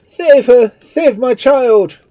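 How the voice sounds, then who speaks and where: A woman voicing a desperate, impatient priest and father in a story, crying out loudly with urgent agony. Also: slow, dry recording. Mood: agonised, pleading. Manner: loud, high, screaming urgency.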